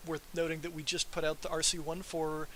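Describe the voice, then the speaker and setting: Bright-voiced man presenting a tech demo. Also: a little high, little pitch movement, a little fast. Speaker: software developer presenting a live demo. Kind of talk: informal technical livestream presentation. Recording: faint background hiss.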